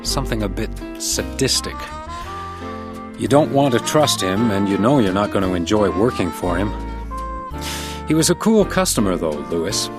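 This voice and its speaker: An actor playing a blunt, loyal ex-drunk hotel detective, dry and probing, in a radio detective drama. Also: male voice, lowish voice, big pitch swings, moderate pace, over music. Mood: wry, reflective. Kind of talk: radio detective drama acting with noir narration.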